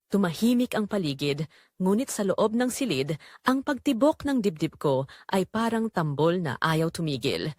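A woman's voice: on the low side, sing-song, moderate pace, darkish tone, dry recording, clean studio sound. A woman narrating a dramatic romance story in the first person.

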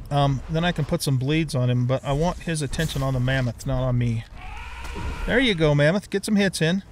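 A man's voice: on the high side, slight background noise. An older man giving casual, informal video game commentary, thinking aloud as he plays. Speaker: gaming streamer, let's player.

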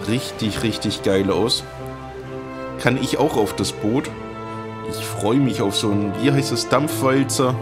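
Ein Mann kommentiert locker und mit trockenen Sprüchen ein Videospiel als Let's-Play-Host. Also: male voice, mid-range voice. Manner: chatty, wry asides while reading game menus. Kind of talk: casual gameplay commentary. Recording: talking over game music.

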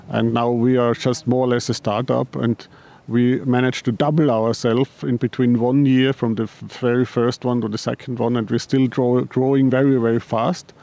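Gravelly dark-voiced man, halting answers. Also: mid-range voice, a little hiss or hum. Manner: halting, with frequent restarts and repeated words. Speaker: paraglider designer and company head. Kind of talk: interview answers.

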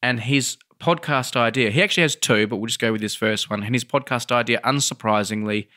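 Bright-voiced Australian man telling stories. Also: mid-range voice, a little fast. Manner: enthusiastic storyteller. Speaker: podcast co-host. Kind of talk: casual anecdotal podcast chat.

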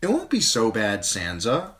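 A man's voice: lowish voice, wildly swooping pitch, measured pace, fairly bright tone, voice pushed up, full character voice. A man voicing a blunt, tomboyish younger noble girl in an audiobook, matter-of-fact. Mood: coaxing. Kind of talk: audiobook character voice.

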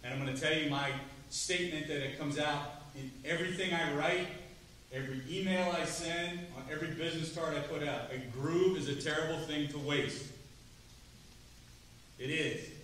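A middle-aged man giving a drum clinic to students, chatty and lively, asking the room questions. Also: fairly high pitch, normal speed. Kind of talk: percussion clinic talk to students. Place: large rehearsal hall, standing before seated students. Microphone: far camera, no mic. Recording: roomy hall from far off, faint noise.

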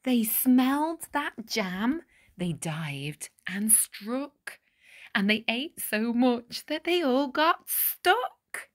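A woman reading a rhyming children's storybook aloud with deliberate, dramatic phrasing. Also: fairly high pitch, sing-song. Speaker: picture book reader.

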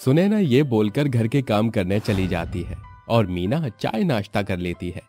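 Male storyteller, mellow, swooping pitch. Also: big pitch swings, normal speed, soft background music. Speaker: narrator. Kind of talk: scripted story narration.

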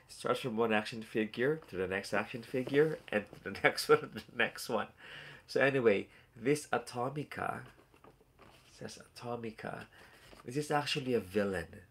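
A man's voice: mid-range voice, normal speed, gravelly, a bit bright, slightly rough. A man in his forties with a stuffed-up, nasal voice, chatting casually and rambling with live viewers from a small room at home, recorded on a phone or webcam at arm's length. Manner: rambling and chatty, full of fillers and asides to viewers. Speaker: toy collector hosting a live unboxing. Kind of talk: casual live-stream chat.

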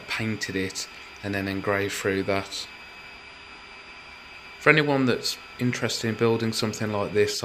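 Low-voiced man explaining a hobby build. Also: bright, forceful tone, a little hiss or hum. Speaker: hobbyist builder presenting his project.

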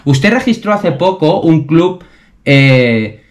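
A man's voice: fairly high pitch, very animated, slow. A man from Spain acting as a stern athletics official in a prank call, officious, scornful and insistent. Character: stern, scolding male athletics official threatening penalties. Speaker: prank caller. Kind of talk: phone prank in character.

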